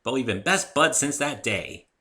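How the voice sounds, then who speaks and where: A man's voice: medium pitch, lively intonation, normal speed, sharp tone, pitched above the performer's own voice. A man voicing a brash, boastful young female pegasus pony racer in a dramatic story reading, cocky and emphatic. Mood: sheepish. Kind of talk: dramatic reading of fan fiction.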